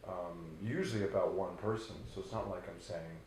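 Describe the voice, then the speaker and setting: Man, bass voice, rambling, restarts, heavy room echo, light noise. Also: moderate pace. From Canada. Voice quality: very low bass voice. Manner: relaxed, rambling, many restarts and fillers. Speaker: rock band singer. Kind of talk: casual interview answers.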